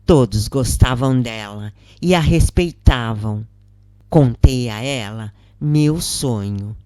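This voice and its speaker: A woman narrating a scripted first-person story in a measured, solemn manner. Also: a bass voice, mellow, dry recording, studio quality.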